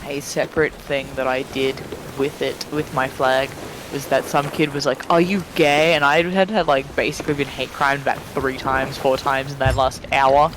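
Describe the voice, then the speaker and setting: A man chatting casually on a game stream, telling stories in an animated way and acting out the exchanges. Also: medium pitch, normal speed, music in the background, poor audio. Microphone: online voice chat.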